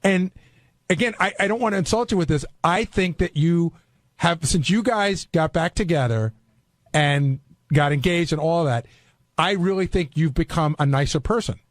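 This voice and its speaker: A man's voice: fairly high pitch, moderate pace, no room sound. A man in casual on-air discussion, earnest and explanatory, circling back over his phrases as he tells things.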